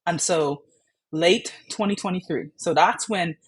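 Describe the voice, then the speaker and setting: A woman's voice: fairly low pitch, measured pace, breathy edge. A young woman from the Bahamas, candidly telling her own patient story in a chatty way. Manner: chatty, frequent "like" and "you know" fillers.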